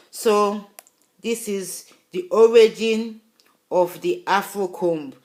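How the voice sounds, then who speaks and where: Woman vlogger, non-native English, lowish voice, insistent and rambling, echoey room. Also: a little mellow. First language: not English. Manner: emphatic and insistent, loose rambling asides. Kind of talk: casual video talk to viewers.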